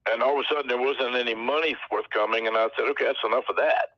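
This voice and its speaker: A man's voice: medium pitch, fairly even intonation, rapid-fire, no room sound. An American man talking as a guest over the phone from his office, a folksy, joking storyteller. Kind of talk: casual phone interview. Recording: tinny phone line.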